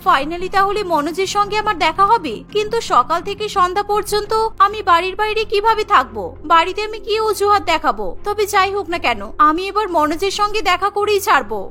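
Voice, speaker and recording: A woman's voice: very high voice, animated, brisk, hardly any pauses, sharp tone, soft background music, full character voice. A woman voicing a meek, dutiful, put-upon young daughter-in-law in a cartoon, submissive and pleading. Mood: anxious, resolved.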